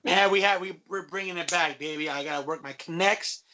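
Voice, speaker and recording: A man's voice: high voice, a little fast, pushed and bright, slight background noise. A man co-hosting a sports podcast in casual, slangy, emphatic talk that repeats phrases.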